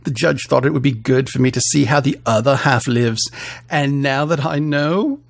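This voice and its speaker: A man's voice: on the high side, animated, a little slow, vocal fry. A man voicing a snobbish, scornful rich man in an audio story, with a thick affected snooty accent and haughty manner. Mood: haughty, aggrieved. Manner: pompous, haughty, disdainful.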